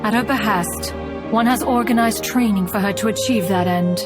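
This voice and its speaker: A woman's voice: medium pitch, normal speed, natural voice. A woman voicing a haughty yet fond ancient mountain immortal in a video game, speaking in archaic, formal language. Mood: solemn, concerned. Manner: archaic, formal, lofty speech. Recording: music playing behind.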